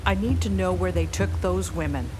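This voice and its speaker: A woman's voice: fairly low pitch, natural voice. An actress playing a headstrong American woman pilot in an audio drama, blunt and defiant. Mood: determined. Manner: brisk, blunt. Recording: loud music behind the voice.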